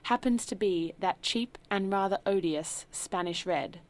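A woman's voice: medium pitch, lightly acted. Woman playing a grave formal butler. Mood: cool. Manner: formal, measured, softly spoken. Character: dignified, grave male butler, quietly disdainful.

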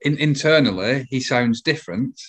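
A man's voice: medium pitch, lively intonation, moderate pace, fairly bright tone, husky. A middle-aged man interviewing in a casual chat, repeating words tentatively as he thinks.

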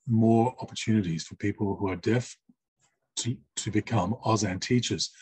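A man interpreting for a deaf guest, speaking in the first person. He gives measured, list-like answers with short pauses. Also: fairly low pitch, a little mellow, slightly rough, no room sound, low-quality recording.

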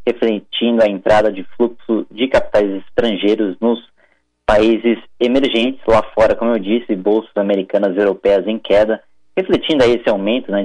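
A man reporting the day's stock market and currency moves live on radio, steady with small fillers. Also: on the low side, rough recording.

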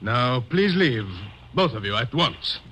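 An actor playing a stern, stiffly polite guard of a sheik in a radio drama. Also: male voice, medium pitch, sing-song, slow pace. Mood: firm. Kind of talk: 1950s radio drama acting. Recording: noisy old radio recording.

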